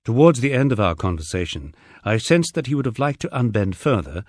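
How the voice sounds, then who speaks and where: A middle-aged man narrating a humorous country memoir as an audiobook, with measured, expressive storytelling. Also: mid-range voice, dry recording, clean studio sound.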